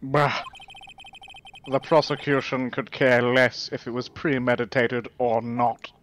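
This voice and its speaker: A man playing a smug, dismissive prosecutor in a fan dub of a courtroom game, sneering and deliberate. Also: medium pitch, level tone, fairly bright tone, slight background noise.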